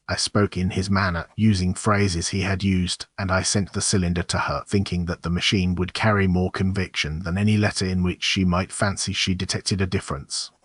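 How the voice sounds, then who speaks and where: An actor with a British accent playing a bitter, resigned murderer making a grave, formal confession in an audio drama. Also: male voice, low voice, slightly rough, no room sound, clean studio sound.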